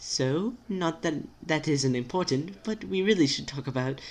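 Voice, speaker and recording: A man's voice: on the high side, lively intonation, moderate pace, pitched above the performer's own voice. A man voicing a nervous, awkward teenage boy who speaks hesitantly in wordy, formal phrases and tries to be supportive.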